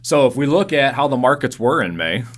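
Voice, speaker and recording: A man's voice: medium pitch, sing-song, fairly quick. Friendly man prompting questions. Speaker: podcast co-host. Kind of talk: casual podcast conversation.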